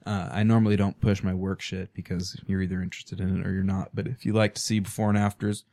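A man's voice: sits low, little pitch movement, fast talker, mellow. A man speaking, a podcast guest in casual, crude, joking chat in a small studio.

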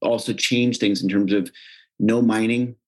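Conversational American man, lowish voice. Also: measured pace, slightly rough. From United States. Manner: relaxed, conversational, frequent "you know". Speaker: company executive, guest. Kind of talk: interview answers.

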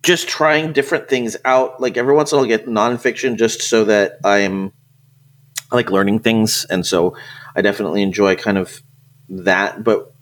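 A man's voice: on the low side, normal speed, fairly bright tone. A man hosting a podcast in casual, conversational chat. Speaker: podcast host.

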